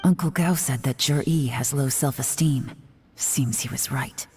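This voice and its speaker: An actress playing a cool, shrewd spy in a video game, calm and composed with dry wit. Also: female voice, a bass voice, a little slow. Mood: calm, calculating. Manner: calm, dryly amused, composed.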